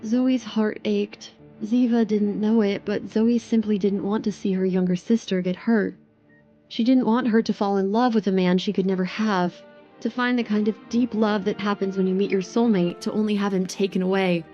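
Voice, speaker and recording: A woman's voice: mid-range voice, fairly even intonation, moderate pace, warm tone, dull audio, faint music behind. A woman narrating a scripted romance drama as its storyteller.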